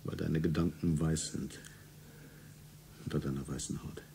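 An actor playing a grave, merciless rebel judge, intoning accusations solemnly in a radio play. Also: male voice, very deep voice, steady tone, normal speed, creaky, warm tone. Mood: cold, solemn. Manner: accusatory, incantatory repetition. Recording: faint noise and ambience behind.

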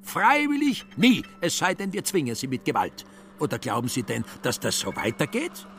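A fanatical older gentleman in a radio play. He shouts and rants spitefully, agitated and mocking, his voice driven far up high. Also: sing-song, moderate pace, a little hiss or hum. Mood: fanatical ranting. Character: fanatical older male nationalist, a spiteful ranting house tyrant.

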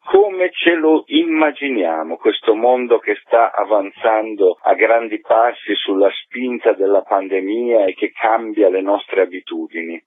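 Male phone-in guest, mid-pitched and raspy, thoughtful interview talk on a narrow, dry line. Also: no echo. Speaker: interview guest, community theatre maker. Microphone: phone call. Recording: thin, narrow telephone line.

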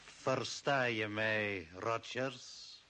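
Cold, commanding villain, hissy old radio. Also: male voice, fairly low pitch, fairly even intonation, very slow, pushed and bright, exaggerated voice. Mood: commanding, controlled. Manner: commanding, scornful. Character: cold, authoritarian old Earth man loyal to the Martians. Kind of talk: older Dutch radio play acting.

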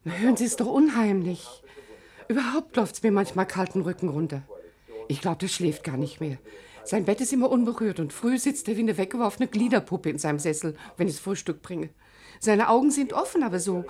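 An actress playing a worried, gossipy housekeeper in a radio play. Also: female voice, medium pitch, sing-song, normal speed, a little mellow, close to an everyday voice. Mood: anxious. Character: fretful, chatty female housekeeper. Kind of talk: German radio play acting.

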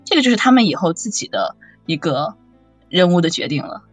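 A woman's voice: fairly low pitch, very animated, brisk, dry recording. A woman voice actor in a casual chat, chatty and acting out imagined lines as she talks. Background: faint background music.

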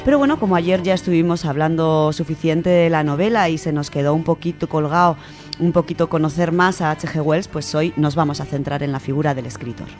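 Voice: mid-range voice, a little fast. A radio presenter with an accent from Spain, talking about books in a chatty, enthusiastic way. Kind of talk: conversational radio book talk. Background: cello music.

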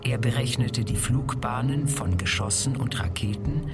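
A man playing a computer's factual lecture voice with measured documentary narration in a radio play. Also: mid-range voice, distant mic, over loud music, natural voice.

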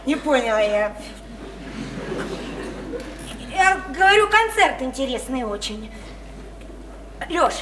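A woman's voice: high-pitched, sing-song, a little slow. An actress in a Russian radio play playing a naive, chatty, insistent provincial woman, eager and coaxing, with colloquial, repetitive talk. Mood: eager inviting. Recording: roomy, slightly noisy radio play recording.

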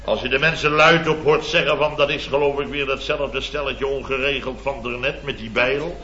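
An actor playing a blustering, exasperated boss of a building firm in a radio comedy, indignant, sputtering and repeating himself. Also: male voice, mid-range voice, steady tone, normal speed, crowd noise, close to an everyday voice. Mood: scornful. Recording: dull old radio recording, roomy, studio audience.

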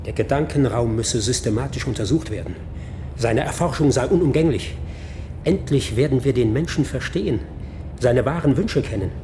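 An actor playing a weary, haunted fugitive scientist in a German science-fiction radio drama, speaking gravely and formally. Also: male voice, mid-range voice, normal speed, mellow, a little hiss or hum, lightly acted. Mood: bitter, declamatory.

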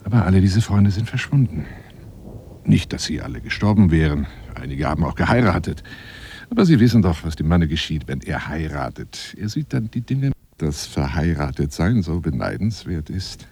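A deep, gravelly, theatrical lonely adventurer. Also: male voice, very animated, normal speed, darkish tone, full character voice. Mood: melancholy, confiding. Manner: theatrical, flowery, insinuating, dropping into breathy near-whispers. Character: lonely, boastful bachelor adventurer wooing a woman by phone. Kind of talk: radio drama monologue, one side of a phone call.

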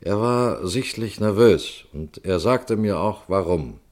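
A measured, deep-voiced detective speaks straight to his listeners in an old radio crime play. He explains crimes patiently and steadily, with a low and calm voice. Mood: explaining. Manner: measured, addresses audience directly. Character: calm middle-aged male police detective. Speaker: narrator of the case. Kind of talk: 1960s radio crime drama.

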